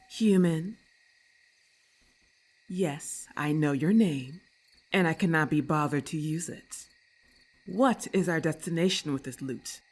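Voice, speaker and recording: Woman voicing a slow, low, haughty lamia monster. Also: a little mellow, heavily acted. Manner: slow, deliberate, imperious, condescending. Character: haughty, predatory female lamia monster, menacing and disdainful. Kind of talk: ASMR roleplay acting.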